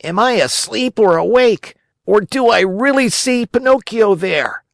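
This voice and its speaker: A man voicing an excitable male wooden puppet who shouts wildly in a shrill way, in a storybook reading. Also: a little slow, vocal fry, dry recording, clean studio sound, heavily acted. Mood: wild excitement.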